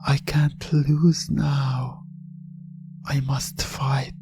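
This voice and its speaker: A man's voice: medium pitch, little pitch movement, extremely slow, vocal fry, pitched above the performer's own voice. A drowsy, determined contestant, acted by a man, in a hypnosis audio drama. The voice is raised, strained and desperate to resist, with music underneath. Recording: music behind the voice.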